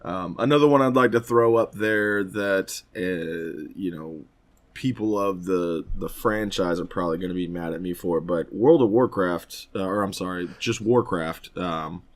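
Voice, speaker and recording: Low-pitched man chatting, rambling and sweary. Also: unhurried. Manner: rambling, slangy and profane. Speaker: podcast co-host. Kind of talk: casual chat about films and games.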